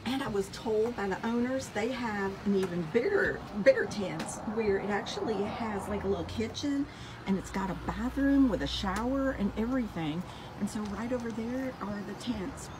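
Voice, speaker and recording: A woman's voice: medium pitch, moderate pace, background noise. A woman giving an enthusiastic, chatty walking tour and directions, filmed outdoors on a phone. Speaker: vlogger touring a glamping site. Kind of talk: casual walking tour vlog. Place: outdoors at a rural mountain campsite. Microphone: phone held while walking.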